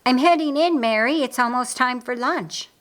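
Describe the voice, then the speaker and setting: A woman's voice: medium pitch, moderate pace, close to an everyday voice. A woman voicing a practical, caring sister in a storybook, speaking in an emphatic, commanding way. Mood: brisk.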